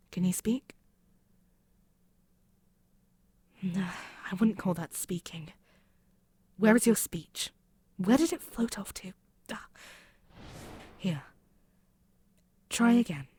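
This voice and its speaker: Woman as a slow, low-voiced demon queen. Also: expressive, darkish tone, breathy edge, heavily acted. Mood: amused, condescending. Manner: haughty, deliberate, musing, with pauses and murmured asides. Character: imperious demon queen, proud, mocking, possessive villainess. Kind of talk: scripted ASMR audio roleplay, spoken intimately to the listener.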